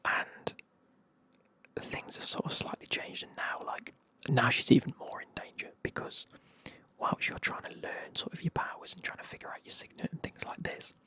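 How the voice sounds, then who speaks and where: A person with a British accent whispering a casual, chatty, sweary retelling of a book in a breathy ASMR style. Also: normal speed, sharp tone, rough recording.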